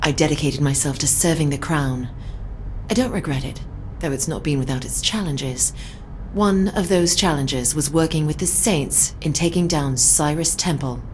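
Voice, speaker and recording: Woman acting as British female agent, very low voice, formal, clipped, hard to impress, game audio log, faint noise. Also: moderate pace, natural voice, low-quality recording. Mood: composed, reflective.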